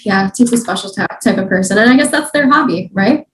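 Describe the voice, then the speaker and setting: A woman's voice: medium pitch, quick pace. A woman from the Czech Republic, a podcast guest, chatting in an upbeat, agreeable way. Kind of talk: casual chat. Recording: echoey room.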